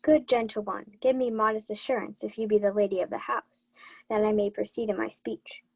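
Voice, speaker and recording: A young woman playing a courtly, ardent young gentleman messenger, declaiming in a radio Shakespeare play. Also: medium pitch, flat delivery, a little fast, creaky, slightly rough, no room sound, poor audio. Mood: courtly flattery. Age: young adult. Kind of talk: 1940s radio Shakespeare acting.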